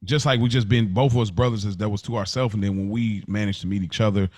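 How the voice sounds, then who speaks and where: A man's voice: on the low side, fairly even intonation, quick pace, darkish tone, no echo. A man speaking casually and earnestly in informal slang, telling personal stories as a podcast co-host. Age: adult.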